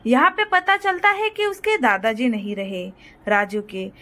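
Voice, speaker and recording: A woman with a high voice works as a storyteller. She delivers scripted narration for a cartoon moral tale. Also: measured pace, pushed and bright.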